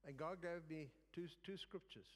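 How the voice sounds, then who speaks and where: A man giving a sermon as a guest preacher, in a halting way with phrases repeated for emphasis. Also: a little high. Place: church service in a large room. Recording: reverberant hall.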